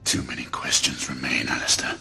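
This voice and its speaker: An actor playing a grim, grieving knight bent on vengeance, brooding and terse in a gravelly growl, in a dramatic video game. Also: male voice, very deep voice, completely flat delivery, brisk, pushed and bright, in a whisper, heavily acted. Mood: grim resolve.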